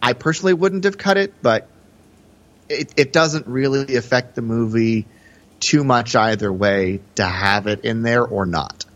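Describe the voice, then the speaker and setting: A man with a medium-pitched voice chats as a film podcast co-host. He is hesitant and musing, feeling his way through each thought, on slightly muffled audio. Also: a little slow, a bit bright. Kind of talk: casual film discussion.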